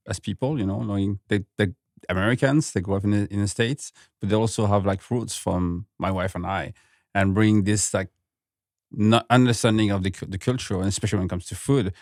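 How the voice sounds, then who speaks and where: A French-native man, a pastry chef guest on a podcast, speaking earnestly in somewhat halting English with a few non-native slips. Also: on the low side, fairly even intonation, darkish tone, dry recording, studio quality. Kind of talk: podcast interview.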